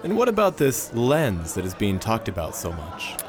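A man's voice: fairly low pitch, wildly swooping pitch, moderate pace. A man playing a senator's scheming, sinister henchman in a radio drama, with a probing, menacing manner. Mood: sly. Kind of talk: science fiction radio drama acting. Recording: busy crowd and city noise behind.

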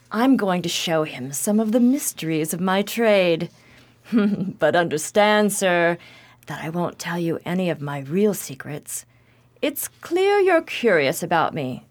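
Radio play villainess, woman actor: poised, sly, theatrical, very animated intonation. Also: mid-range voice. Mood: effusive delight, then silky, teasing. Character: cunning, silky, self-assured villainess, a beauty specialist with secrets. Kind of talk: radio drama acting.

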